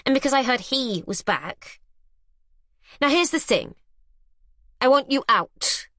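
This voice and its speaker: A woman's voice: on the high side, a little slow, a bit bright, no room sound, studio quality, pitched above the performer's own voice. Woman voicing a sneering posh young woman. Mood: imperious. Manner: imperious, contemptuous. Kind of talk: audiobook character voice.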